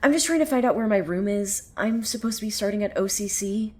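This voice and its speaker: A woman's voice: medium pitch, brisk, a little mellow, voice pushed up, close to an everyday voice. Woman voicing a frazzled young college girl. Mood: strained, apologetic. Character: frazzled, hungry young female new college student.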